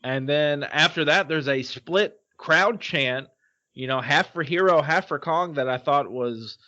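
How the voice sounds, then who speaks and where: Higher-pitched man chatting on a muffled podcast. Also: measured pace, bright, forceful tone. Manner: loose, rambling, many fillers. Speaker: podcast co-host. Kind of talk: casual podcast chat recapping a wrestling show.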